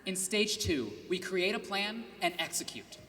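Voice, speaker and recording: A man giving a rehearsed student team presentation in a steady, scripted way. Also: high voice, unhurried, long reverb tail, slight background noise.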